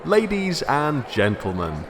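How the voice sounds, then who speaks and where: A man's voice: a little high, wildly swooping pitch, slow pace, a crowd in the background, heavily acted. A man playing a solemn documentary narrator and announcer in a scripted comedy mockumentary.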